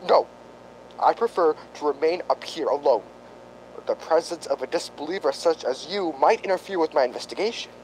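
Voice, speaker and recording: A man acting in a radio drama as a grave, self-important doctor of psychic investigation, speaking formally and deliberately. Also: on the high side, animated, a little mellow, slight background noise, close to an everyday voice, low-quality recording. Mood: grave, intense.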